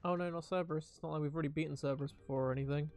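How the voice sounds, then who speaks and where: A man's voice: fairly high pitch, steady tone, very fast, darkish tone. A man streaming a video game, giving casual, rambling, wry live commentary. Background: game music.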